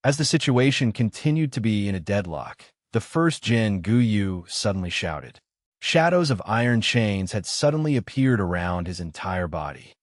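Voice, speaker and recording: A man narrating a fantasy novel in a steady, dramatic manner. His voice sits low and is recorded dry and close. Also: no echo. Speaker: audiobook narrator.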